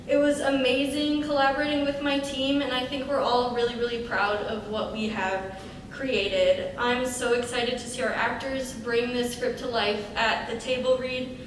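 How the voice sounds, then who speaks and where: High-voiced young woman in an echoey hall. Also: little pitch movement, normal speed. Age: young adult. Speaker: showrunner introducing a cast at a table read. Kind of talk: live event introduction to an audience. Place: large echoey room, live event. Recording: noisy, very echoey hall, distant mic.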